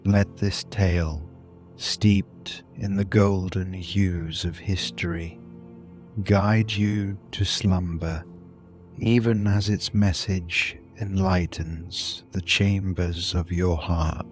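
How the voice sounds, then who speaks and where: A man narrating a scripted bedtime story in a soothing, deliberate manner, with long pauses between short phrases. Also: deep voice, steady tone, soft background music. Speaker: host and narrator of a sleep story podcast.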